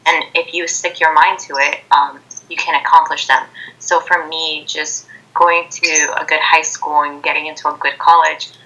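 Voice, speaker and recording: Low, bright young woman on a call. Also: level tone, normal speed, few pauses. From Ecuador. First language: Spanish. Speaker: interview guest, college student. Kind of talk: informal interview answers. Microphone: Skype video call. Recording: dull, phone-like internet video call.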